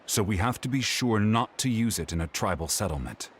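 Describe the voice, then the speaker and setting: A man's voice: fairly low pitch, animated, brisk, a little hiss or hum, natural voice. A man voicing a weary, matter-of-fact young tinkerer who explains things in a video game. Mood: focused, explanatory. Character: defeated yet invested young male occult tinkerer.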